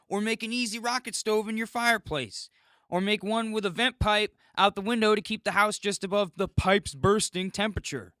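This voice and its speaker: A high, bright, scolding internet commenter, put on by a man for a comic podcast skit. The delivery is exaggerated and sarcastic, as in a petty online squabble, and the sound is dry. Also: no room sound.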